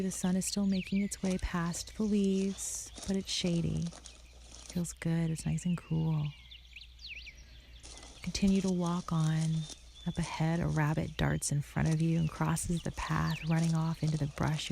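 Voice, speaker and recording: A woman narrating a guided meditation in a soothing, unhurried, softly spoken way with a creaky voice, leading the listener through an imagined scene. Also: fairly low pitch, slight background noise. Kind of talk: guided meditation, second-person scripted visualization.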